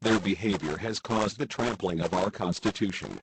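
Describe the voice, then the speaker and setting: A man's voice: sits low, normal speed, background noise. A man playing a grave, embattled American president giving a formal, declamatory emergency address. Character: grave, embattled male President of the United States.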